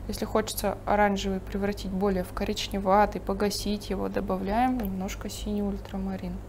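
A woman artist teaching, thinking aloud calmly as she paints a still life at her table. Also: mid-range voice, brisk, noisy background. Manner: thinking aloud while painting, calm and explanatory. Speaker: painting instructor. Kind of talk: painting demonstration with commentary. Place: studio table, overhead camera on the painting.

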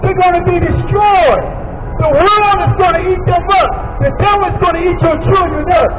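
A man's voice: very high voice, fairly quick. A man with an American accent preaching an impassioned, emphatic street sermon, repeating his phrases over and over. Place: outdoors on a busy city plaza by a street. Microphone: handheld microphone through a portable speaker, heard from a distant camera. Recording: distant, dull outdoor recording with street and crowd noise.

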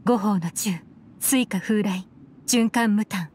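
A woman voicing a composed, shrewd adult investigator in an anime game, explaining in a cool, measured, analytical way. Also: medium pitch, darkish tone, slight background noise, lightly acted. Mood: focused, incanting. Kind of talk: Japanese anime game voice acting. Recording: music under the voice.

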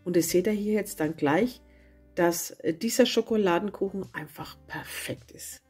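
A woman with a cold and a hoarse voice, chatting casually as she narrates a home baking video and correcting herself as she goes. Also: lowish voice, normal speed. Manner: chatty, self-correcting, homely. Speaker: cooking channel host. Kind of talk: casual recipe voice-over. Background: soft guitar music.

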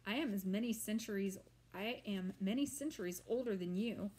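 A woman voicing an ancient, weary male swamp monster who speaks in a slow, grand and formal way. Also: medium pitch, warm tone, a little hiss or hum, natural voice. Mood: drily pompous.

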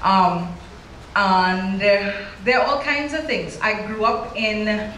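A woman's voice: medium pitch, slow pace, fairly bright tone. A middle-aged woman from Trinidad with a Trinidadian accent, an author speaking candidly into a handheld mic before a large audience, often ending lines with "right?". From Trinidad and Tobago. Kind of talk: live onstage conversation. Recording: roomy hall with faint noise.

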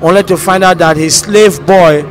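A middle-aged man with a Nigerian accent explains a story in an interview, speaking deliberately and haltingly into a handheld microphone. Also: sits high. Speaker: stage actor being interviewed. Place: large bare indoor hall. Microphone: handheld microphone held at the mouth. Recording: handheld mic with clear background noise.

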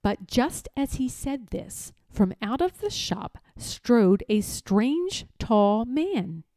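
Slow-paced woman reading a storybook. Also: fairly high pitch, big pitch swings, warm tone, no echo. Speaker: storybook reader. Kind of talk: dramatic children's read-aloud.

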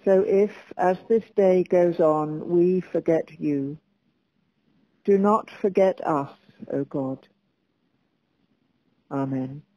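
Slow, hushed woman leading prayer. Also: fairly low pitch, dry recording, dull audio. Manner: slow, calm and measured. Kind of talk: slow liturgical prayer and reading.

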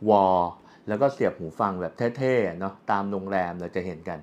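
A man's voice: low voice, fairly quick, darkish tone, highs cut off. A man gives a casual, unscripted product demonstration, explaining step by step as a reviewer. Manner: explanatory, with frequent polite particles and spoken handling sounds. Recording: clearly audible background ambient noise.

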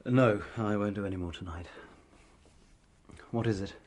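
An actor playing a weary, bitter English novelist in a period radio drama, speaking formally and with irony. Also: male voice, on the low side, moderate pace, a little mellow, lightly acted. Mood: cold, weary. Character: struggling, weary, bitter male novelist and husband.

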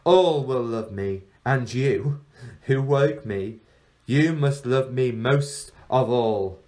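A man's voice: mid-range voice, slow, a little mellow, lightly acted. A man reading a storybook, voicing an ancient enchantress who looks like a young girl, imperious and falsely innocent, drawing out her words. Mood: commanding.

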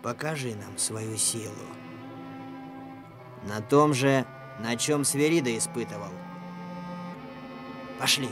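A man's voice: mid-range voice, takes it slowly, long pauses, close to an everyday voice. A sneering young gang leader acted by a man in an old radio drama. He drawls mocking taunts very unhurriedly, with music playing underneath. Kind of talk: Soviet radio play acting.

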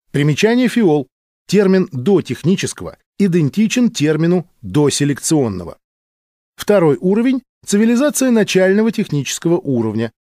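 A man reading a science fiction audiobook in a measured, formal, clearly articulated way. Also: on the high side, darkish tone, no room sound, studio quality. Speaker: audiobook narrator.

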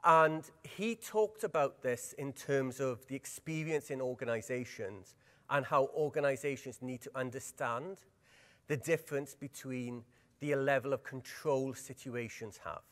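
A middle-aged man with a Welsh accent running a workshop at a conference, lively and engaging, putting questions to the audience. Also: mid-range voice. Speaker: workshop presenter. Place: large conference hall. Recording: long hall reverb.

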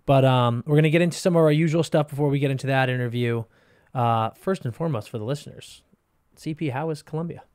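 A man in his thirties co-hosting a hockey podcast, reading a sponsor spot and chatting in an emphatic, pumped-up way on a studio boom mic. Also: fast talker, darkish tone, smooth, no room sound. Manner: hyped, emphatic pitchman delivery. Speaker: podcast co-host. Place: studio with brick and wood walls. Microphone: boom-arm podcast mic.

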